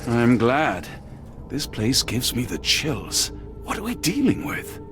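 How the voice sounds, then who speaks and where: An actor playing a detective's loyal, anxious, good-natured male companion in a video game, with old-fashioned gentlemanly speech. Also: mid-range voice, sing-song, takes it slowly. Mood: uneasy. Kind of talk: dramatic video game voice acting. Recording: music bed under the voice.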